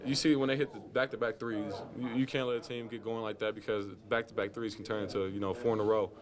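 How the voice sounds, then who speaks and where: A man's voice: fairly low pitch, level tone, fast talker. A young man, a college basketball player, talking casually in a post-game interview. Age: young adult. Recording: crowd chatter behind.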